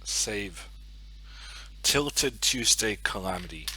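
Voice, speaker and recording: A man's voice: fairly low pitch, expressive, slow pace, pushed and bright, breathy edge, rough recording. A young man with a Norwegian accent chatting casually on a live stream, thinking aloud and muttering to himself as he sets up. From Norway. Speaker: chess streamer.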